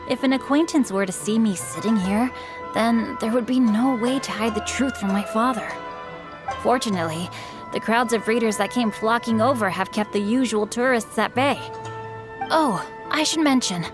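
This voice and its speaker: An actress playing a polite, refined young novelist in a video game, speaking with formal, gracious courtesy. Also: female voice, fairly high pitch, close to an everyday voice.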